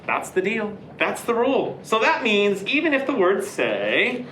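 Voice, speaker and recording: A woman's voice: medium pitch, very animated, measured pace, echoey room, background noise. A woman reading a children's picture book aloud, playing up mock outrage and dismay. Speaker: storybook reader.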